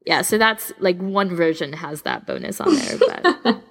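A woman podcast co-host chatting casually in an informal, animated way, wandering into tangents as she gives recommendations. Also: lowish voice, measured pace, slightly rough. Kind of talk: casual chat, recommending audio fan fiction.

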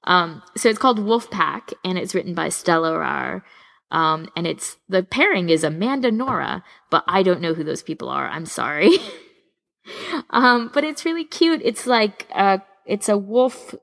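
A woman podcast co-host chatting casually in an informal, animated way, wandering into tangents as she gives recommendations. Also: lowish voice, moderate pace, creaky. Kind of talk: casual chat, recommending audio fan fiction.

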